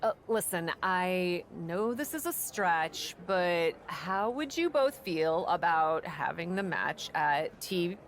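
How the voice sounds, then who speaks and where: A woman's voice: on the low side, expressive, slow pace, few pauses, slight background noise. A woman playing a confident, determined indie pro wrestler with a wry, self-assured manner in video game cutscene dialogue. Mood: hesitant surprise.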